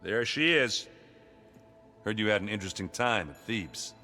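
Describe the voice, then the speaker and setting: A man playing a gruff, good-natured warrior in a video game, talking in a casual, joking, self-deprecating way. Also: lowish voice, animated, normal speed, pushed and bright. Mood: teasing, friendly. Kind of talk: video game cutscene acting. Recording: long reverb, music behind.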